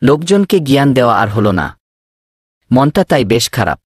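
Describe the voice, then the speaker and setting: Man acting a cartoon village man, earnest schemer, husky, lively swooping pitch, dry sound. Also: moderate pace, no echo. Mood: dejected. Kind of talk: animated cartoon dialogue.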